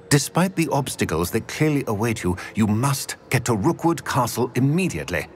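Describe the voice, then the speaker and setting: An actor playing a grave, formal, commanding old wizard in a video game. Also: male voice, mid-range voice, close to an everyday voice. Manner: formal, measured, solemn. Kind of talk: dramatic video game cutscene acting.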